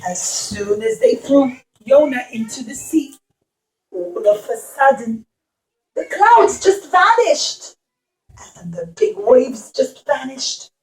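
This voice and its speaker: A woman telling a Bible story to young children in an expressive, teacherly way, with simple repeated phrases and questions. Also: high-pitched, slow pace, rough recording.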